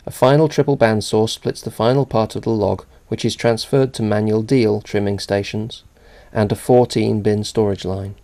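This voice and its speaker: Man, low gravelly voice, mellow tone, measured corporate narration. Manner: even, measured reading. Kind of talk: scripted narration.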